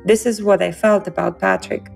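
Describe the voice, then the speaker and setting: A young woman from Siberia with a Russian accent, speaking earnestly in an interview and pausing to search for words. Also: medium pitch, steady tone, creaky, soft background music. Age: young adult. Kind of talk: interview excerpt.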